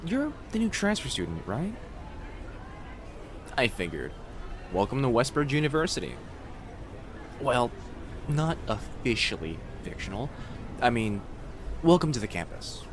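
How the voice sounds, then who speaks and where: A man voicing a friendly, gently teasing young fox-spirit college student in an immersive roleplay audio, speaking casually and reassuringly to the listener. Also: medium pitch, very animated, measured pace, pauses often, background noise. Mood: friendly, joking. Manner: soft-hearted, reassuring, casual conversational delivery. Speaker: voice actor.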